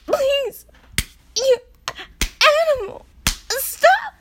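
A woman playing a proud, distressed adult demigoddess in an audio roleplay, with halting, breathy exclamations. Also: extremely high pitch, big pitch swings, heavily acted. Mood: distressed, pleading.

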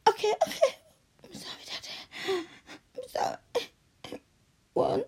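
A young adult woman performing a scripted audio roleplay, tearful and pleading, often sinking into soft whispers. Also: very high-pitched, measured pace, noisy background.

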